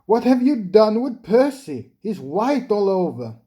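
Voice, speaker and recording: A storyteller voicing a brisk, helpful male railway signalman who chides kindly. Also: medium pitch, sing-song, dark tone, voice pushed up.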